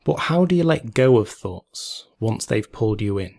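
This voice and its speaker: A man leading a guided meditation in a calm, gentle, measured way, leaving long pauses between phrases. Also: fairly low pitch, vocal fry.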